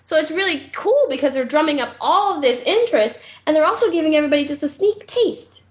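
A woman co-hosting a podcast, chatting casually and excitedly, swearing freely. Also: sits high, fast. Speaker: podcast co-host.

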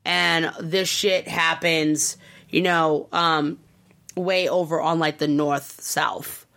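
A woman's voice: low voice, steady tone, takes it slowly, creaky, a bit bright. Rambling giggly woman on a podcast. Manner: rambling, giggly, lots of fillers. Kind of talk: casual podcast chat.

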